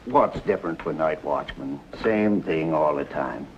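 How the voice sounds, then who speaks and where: A man's voice: rumbling low, expressive, unhurried, heavily acted. A man playing a weary, indifferent night-watchman uncle in a 1950s TV drama, answering tersely. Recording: muffled vintage soundtrack with noise.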